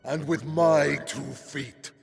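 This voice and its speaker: Very slow, majestic god. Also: male voice, a little high, steady tone, vocal fry, faint music behind. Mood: triumphant. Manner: grand, declamatory. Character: solemn, majestic male god reborn. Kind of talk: video game cutscene acting.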